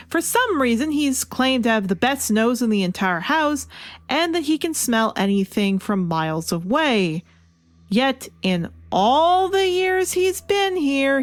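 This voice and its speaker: A woman's voice: on the high side, no room sound. A woman delivering a scripted, opinionated review as the host, leaning into her points with emphasis. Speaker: comic book reviewer and show host. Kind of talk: scripted video review narration. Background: music under the voice.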